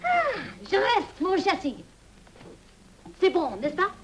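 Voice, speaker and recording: An actress playing a flamboyant, coquettish showgirl in a 1930s-style film, talking with excitable, theatrical drama. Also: very high-pitched, slow pace, a little hiss or hum, full character voice. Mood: flirtatious. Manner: excitable, dramatic, laughing, mixes French phrases.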